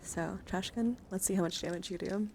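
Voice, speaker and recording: A woman livestream host chatting casually, often dropping into soft murmurs and whispers. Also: medium pitch, fairly quick, vocal fry. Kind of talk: casual live-stream chat. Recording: steady background noise.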